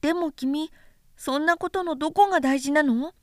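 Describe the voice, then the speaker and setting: A woman's voice: sits high, normal speed, no echo, studio quality, pitched above the performer's own voice, close to an everyday voice. A woman voicing a curious, innocent little prince in a storybook reading, full of persistent questions.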